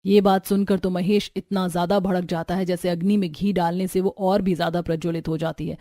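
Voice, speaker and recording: A woman's voice: fairly low pitch, little pitch movement, a little fast, mellow, dry recording, clean studio sound. A woman narrator reading a scripted family story for a cartoon.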